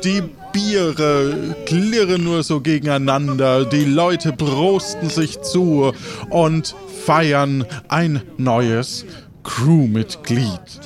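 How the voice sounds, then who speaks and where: A man narrating an audio role-playing game as its host and game master. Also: on the high side. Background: music.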